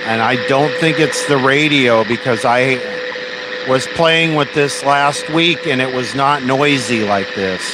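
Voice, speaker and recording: A man with a mid-pitched voice talks slowly and casually, as if hosting a live demonstration. He hesitates and thinks out loud as he goes. Loud, buzzy radio noise sits heavily around his voice. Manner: hesitant, musing aloud. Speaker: host of a radio demonstration livestream. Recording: loud radio static and hum over voice. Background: noisy shortwave radio static.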